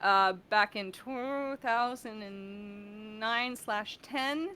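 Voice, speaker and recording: A woman with a bright, smooth voice at an average pitch talks casually as a guest. She rambles playfully through stories about her work. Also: drawn-out words. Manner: playful, rambling, uses silly coinages. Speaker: guest, voice actor and audio director. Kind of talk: casual interview chat.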